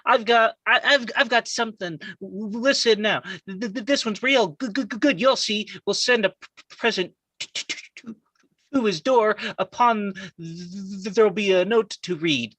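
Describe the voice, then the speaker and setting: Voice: medium pitch, normal speed, fairly bright tone, dry recording, close to an everyday voice. An adult performer plays a mischievous child henchman in a scripted table read. The kid is bossy and cruel, stumbling heavily over first sounds, in a dry, close recording. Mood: scheming. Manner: heavy stutter on first sounds. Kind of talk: live amateur table read of a film script.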